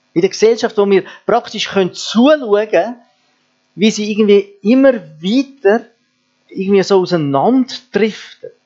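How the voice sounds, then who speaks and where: Man, pastor, high voice, earnest sermon with rhetorical questions, lo-fi recording with mains hum. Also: a little mellow. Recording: dull lo-fi sound with mains hum.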